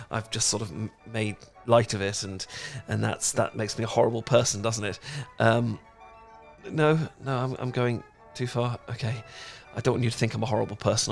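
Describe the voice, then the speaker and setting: A man's voice: lowish voice, gravelly. Guy playing a nervous dorky british boyfriend type, stammers and rambles, awkward and sweet, faint music behind. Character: cute, awkward, rambling dorky young man on a date. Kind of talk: romantic ASMR roleplay acting.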